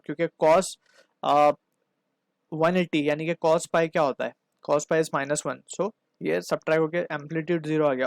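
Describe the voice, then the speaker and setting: A man's voice: fairly high pitch, no echo. A man with an Indian accent teaching physics, explaining a problem step by step and often adding short check-in tags. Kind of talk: step-by-step problem-solving lesson.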